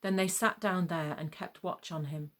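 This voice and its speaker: A woman reading a scripted gospel narration in a measured, solemn manner. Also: on the low side, steady tone, fairly bright tone.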